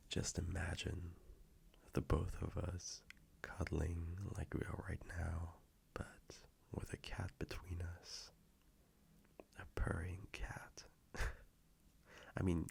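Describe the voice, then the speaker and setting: A man playing a gentle, affectionate boyfriend in an intimate audio roleplay, speaking softly and reassuringly with a low, gravelly, sometimes breathy voice. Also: level tone, in a whisper, full character voice. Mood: affectionate, playful. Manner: soft, slow, reassuring, intimate.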